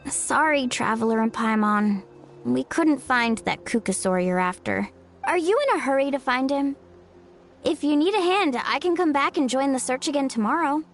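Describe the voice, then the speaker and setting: A woman's voice: high voice, lively intonation, moderate pace, slight background noise. A woman voicing an eager, kind-hearted, chatty young girl in a video game. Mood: friendly, helpful.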